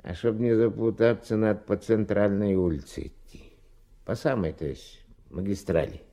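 An actor playing a folksy, philosophical workman in a radio play, giving measured, homespun advice. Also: fairly low pitch, dark tone, natural voice. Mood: wistful, cautioning. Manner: measured, colloquial, sententious. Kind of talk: 1970s radio play acting.

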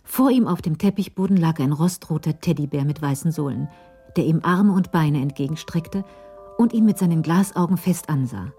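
A woman narrates a crime radio play with literary care. Her voice is very low and dark, soft at the edges, and she moves through the story in a measured way. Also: soft background music.

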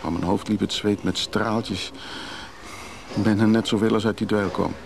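A restless, evasive man in a naturalistic radio drama. His voice sits low and has a creaky edge. He speaks hesitantly and confidingly, stumbling over words as he guards his freedom. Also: steady tone, a little slow. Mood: uneasy, confessional. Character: restless recovering drinker, evasive man who craves freedom.

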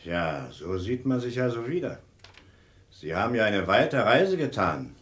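An authoritative male police inspector with a fairly low voice. His delivery is formal and coolly ironic, in the manner of 1960s radio drama. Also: very animated, a little slow, fairly bright tone. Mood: stern, triumphant.

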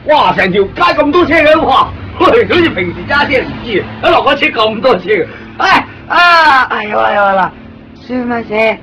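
An actor playing a talkative, excitable young Hong Kong man living in Tokyo who keeps joking and offering to guide a visitor, speaking with a Hong Kong Cantonese accent in a 1990s radio play. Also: very high-pitched, a little fast, a little hiss or hum, heavily acted. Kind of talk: 1990s radio drama acting. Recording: dull lo-fi 1990s radio recording.

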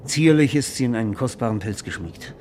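An actor playing an eager, self-absorbed screenwriter and romantic dreamer in a German radio play, speaking in a florid, rhapsodic, theatrical way. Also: male voice, mid-range voice, animated, fairly quick, darkish tone, a little hiss or hum, lightly acted. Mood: rapt, rhapsodic.

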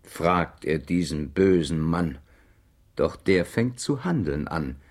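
An actor as a playful storyteller, narrating a rhymed children's radio play in a gravelly, husky voice. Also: male voice, low voice, lively intonation, takes it slowly, a little mellow, exaggerated voice.

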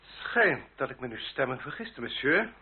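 Haughty, husky-voiced French marquis. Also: male voice, mid-range voice, big pitch swings, normal speed, full character voice. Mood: haughty, icy. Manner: formal, haughty, elaborately polite. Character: proud, courtly French marquis and duellist, coolly menacing. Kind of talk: old radio play acting. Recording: dull lo-fi old radio recording.

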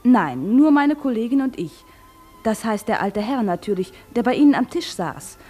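A cloakroom attendant in a radio play, polite but defensive. Her voice is slightly high, husky and dark in tone. She speaks hesitantly and deferentially over faint music. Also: very animated, moderate pace. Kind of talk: German radio play acting.

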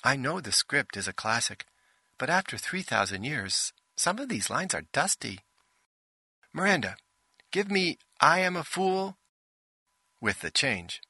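A man's voice: a little high, big pitch swings, unhurried, pauses often, bright, forceful tone, breathy edge, no echo, pitched above the performer's own voice, full character voice. Man voicing a bossy, theatrical female stage director. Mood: brisk, directing. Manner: imperious, exacting stage director.

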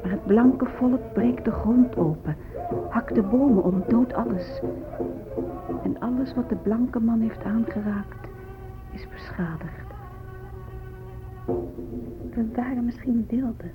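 A woman's voice: fairly high pitch, a little slow. Grave Native woman, muffled radio. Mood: solemn, then hushed reverence. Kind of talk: solemn radio drama monologue. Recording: muffled phone-like old radio recording. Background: music.

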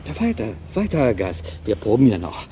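Bossy film director, swinging intonation. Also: male voice, on the low side, wildly swooping pitch, fairly quick, warm tone, a little hiss or hum. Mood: prompting. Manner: directing, instructing, insistent. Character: bossy, impatient male film director coaxing an amateur. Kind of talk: naturalistic radio drama.

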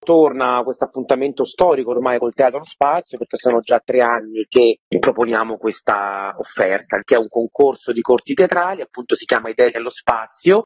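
A man with a husky, mid-pitched voice explains things at length as an interview guest. He speaks off the cuff, over a dull, lo-fi connection. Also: no room sound. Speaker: theatre artistic director, interview guest. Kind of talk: spontaneous explanatory interview answers. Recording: dull lo-fi line, dry.

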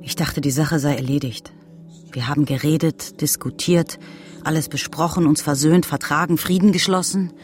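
An actress playing a weary, impatient, sharp-tongued woman in a radio crime drama, curt and exasperated. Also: sits low, fairly even intonation, normal speed, faint music behind, close to an everyday voice.

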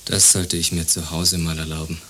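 An actor playing a gloomy, henpecked married man in a radio drama, weary of home, halting and sighing. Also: low voice, flat delivery, a little hiss or hum. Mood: apologetic. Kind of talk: radio play dialogue. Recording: loud music behind the voice.

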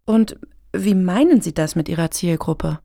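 Gravelly, sardonic woman played by an actress. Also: lowish voice, animated, measured pace, dark tone, no echo, studio quality, full character voice. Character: dry, sardonic female participant in a panel hearing. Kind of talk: satirical radio play dialogue.

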